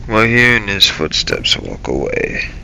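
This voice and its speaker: A man's voice: fairly low pitch, huge pitch swings, a little slow, gravelly, pushed and bright. A man narrating a scripted first-person role-play story in a dramatic, brooding manner. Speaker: video creator narrating a role-play series. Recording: very noisy, heavy background ambience.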